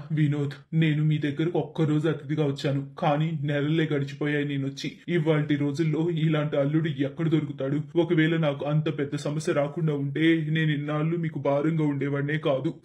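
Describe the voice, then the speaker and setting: A man's voice: a little high, little pitch movement, fairly quick, few pauses, warm tone, close to an everyday voice. A man playing a poor, grateful and wise elderly uncle, plain-spoken and consoling, in a moral story. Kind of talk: animated moral story voice acting.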